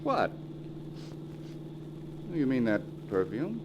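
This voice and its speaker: A man's voice: mid-range voice, wildly swooping pitch, very slow, lo-fi sound, full character voice. An actor playing a man falling under a spell, in 1940s radio drama style. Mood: puzzled. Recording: noisy old 1940s radio broadcast, humming.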